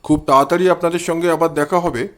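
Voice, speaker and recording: A man's voice: on the high side, fairly even intonation, quick pace. A man narrating a detective story in a dramatic, scripted storytelling style.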